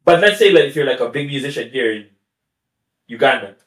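A man's voice: mid-range voice, animated, fairly quick, a bit bright. A man speaking as a podcast guest, chatty and laughing often, with restarts and asides. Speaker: guest YouTuber. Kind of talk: casual podcast conversation. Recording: echoey room.

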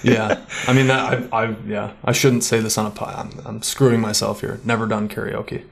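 A man's voice: lowish voice, little pitch movement, moderate pace, gravelly. A young man talking casually as a podcast guest, rambling and swearing easily. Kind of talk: casual chat.

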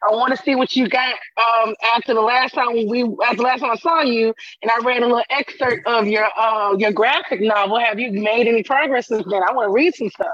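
Loud-voiced woman on a dull call line. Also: fairly high pitch, fairly even intonation, a little fast, few pauses, no room sound. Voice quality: loud, carrying voice. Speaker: guest. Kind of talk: casual chat on a video call. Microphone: headphones with mic on a video call.

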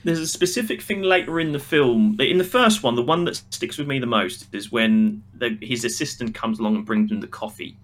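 A man's voice: mid-range voice, fairly quick, hardly any pauses. A man co-hosting a casual podcast, giving critical, opinionated takes on a film. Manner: critical, acts out imagined quotes. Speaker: podcast co-host reviewing a film.

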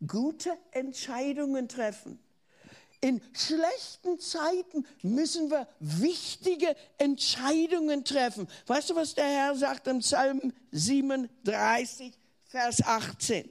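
Older German woman preacher, earnest and questioning, high-pitched, unhurried delivery. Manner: earnest, asks the listener questions, restarts words. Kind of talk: sermon.

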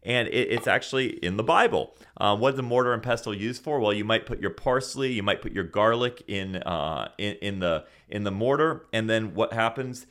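A man who grew up in Senegal preaches on a Bible podcast. His voice sits a little low, and he reads scripture earnestly, pressing his points with rhetorical questions. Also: normal speed, few pauses, a bit bright.

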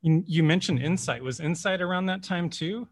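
A man's voice: high-pitched, normal speed, no room sound. Chatty man interviewer, dry close sound. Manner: relaxed, chatty, uses "kinda" and "you know". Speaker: interviewer, curator. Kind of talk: casual conversational interview.

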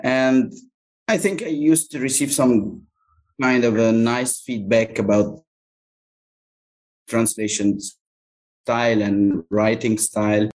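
A man from Egypt whose first language is Arabic, answering interview questions thoughtfully with many pauses and fillers. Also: mid-range voice, fairly even intonation, darkish tone, poor audio. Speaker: localization agency owner, guest.

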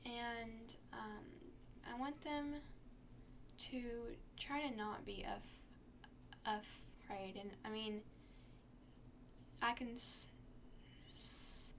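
A teenage girl talking as a podcast guest, soft-spoken and stuttering with repeated sounds. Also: a little high, fairly even intonation, drawn-out words, pauses often, a little hiss or hum. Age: teens. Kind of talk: podcast conversation.

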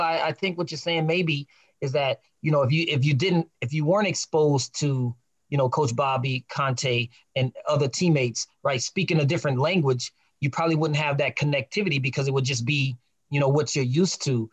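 A man hosting a podcast, asking guests questions in a warm, filler-heavy casual style. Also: fairly high pitch, a little fast, no room sound. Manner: encouraging, peppered with fillers. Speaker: podcast host.

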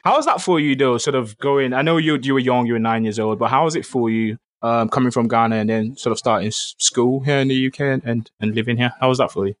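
A man's voice: mid-range voice, fast, few pauses, no echo. A man hosting a podcast, talking in an upbeat, playful, hype style. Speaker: podcast host. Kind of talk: podcast interview.

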